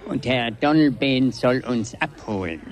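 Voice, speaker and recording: An older actor playing a frail, absent-minded old pensioner in a 1970s radio drama, speaking haltingly and gently. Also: male voice, mid-range voice, natural voice. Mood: hesitant, wistful. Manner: halting, hesitant, breathy trailing phrases.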